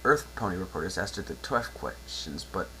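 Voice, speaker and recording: A man's voice: low-pitched, lively intonation, normal speed, pushed and bright, rough recording. A man narrating a story aloud as a dramatic amateur reading. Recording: faint background noise and hum.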